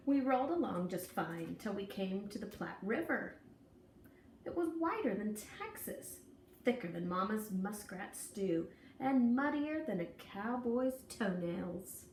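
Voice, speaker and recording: A woman reading a children's story aloud in an expressive, folksy, deliberate way with dramatic emphasis. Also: mid-range voice. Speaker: storybook reader. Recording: echoey room, distant mic, faint noise.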